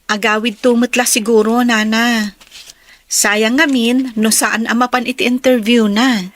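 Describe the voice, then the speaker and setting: An actress playing a gentle, concerned young woman in an Ilocano radio drama. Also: fairly high pitch, flat delivery, unhurried, close to an everyday voice. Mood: reassuring.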